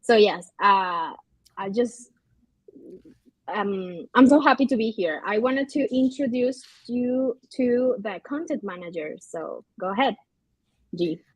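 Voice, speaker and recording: A woman from Venezuela with a husky voice speaks excitedly but nervously on a video call, hesitating often. Her voice is dry and close to the mic. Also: fairly high pitch, slow, no echo, low-quality recording. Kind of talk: casual team introduction.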